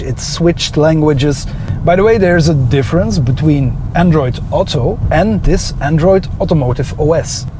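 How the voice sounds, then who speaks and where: A man's voice: a little high, noisy background. A man with a Dutch accent and Dutch as his first language, narrating an enthusiastic, opinionated car review with frequent "uh" fillers.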